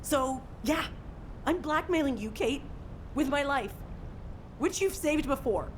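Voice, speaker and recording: A woman's voice: mid-range voice, normal speed, slight background noise, natural voice. A woman acting as a stubborn, self-sacrificing young fighter in a video game, serious and resolute. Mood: defiant.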